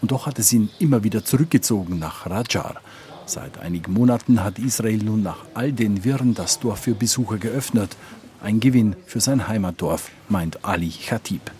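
A male reporter narrating a scripted news feature in a measured, matter-of-fact way. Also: on the low side, a little mellow.

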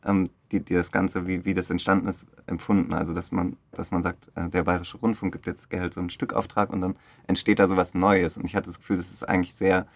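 A man with a lowish voice and plenty of creak talks in a dry acoustic. He answers as a theatre director in a casual interview, searching for phrasing and restarting as he reflects. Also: little pitch movement, quick pace, hardly any pauses, no echo. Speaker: theatre director interviewed about a radio play.

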